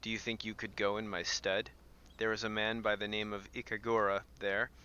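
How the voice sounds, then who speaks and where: A man's voice: on the low side, level tone, normal speed, pushed and bright, clear, resonant tone, muffled recording. A man narrating his video game livestream and reading quest text aloud. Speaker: game streamer. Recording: band-limited sound, game music behind. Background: video game music.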